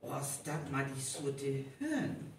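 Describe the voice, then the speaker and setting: A man's voice: medium pitch, sing-song, slow. A man acting in a North Frisian live radio play as someone questioning a detective's explanation. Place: hall with a live audience. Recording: echoey live hall recording, distant, faint noise.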